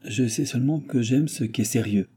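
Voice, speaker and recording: A man voicing a sober, soft-toned young noblewoman. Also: medium pitch, brisk, husky, voice pushed up, lightly acted. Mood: serious. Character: serious, reserved young noblewoman. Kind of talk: audiobook character dialogue.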